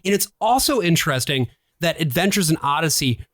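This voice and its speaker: A man co-hosts a casual podcast. His voice sits a little high and moves around expressively. It is recorded dry, with no audible room. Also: very animated, a little fast, a bit bright, no echo. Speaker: podcast co-host. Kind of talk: casual chat.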